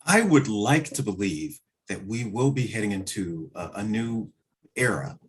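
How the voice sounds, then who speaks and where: A man answering as an interview guest, speaking conversationally in reflective, measured phrases. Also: fairly low pitch, big pitch swings. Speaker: interview guest, play director.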